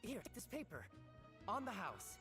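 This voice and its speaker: An eager, apologetic young newspaper seller in a video game. His voice is high and bouncy, flustered but polite. Music plays clearly behind him. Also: huge pitch swings, normal speed, bright, forceful tone, exaggerated voice.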